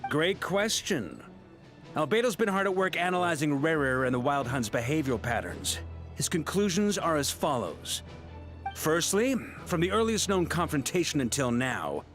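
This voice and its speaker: An actor playing a steady, commanding knight grand master in a video game, speaking with measured authority. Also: male voice, on the high side, soft background music. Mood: confident, commanding.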